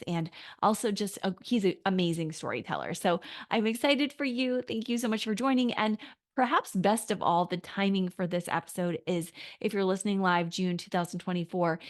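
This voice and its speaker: A woman hosting a podcast interview, her voice a little raspy, talking in a bubbly, effusive way and laughing often. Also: mid-range voice. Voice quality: raspy today. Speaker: podcast host.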